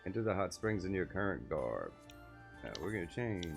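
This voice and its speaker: Low-voiced man with game music behind. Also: sing-song, moderate pace, creaky. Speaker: game streamer. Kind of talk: reading game dialogue aloud with casual gameplay commentary. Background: video game music.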